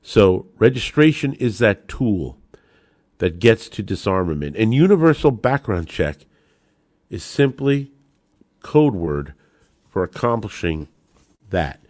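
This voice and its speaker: American man, podcast host, low voice, very animated intonation, emphatic rhetorical commentary, addresses listeners directly, dry close sound. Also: slow, pauses often, dry recording. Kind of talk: political commentary on gun law.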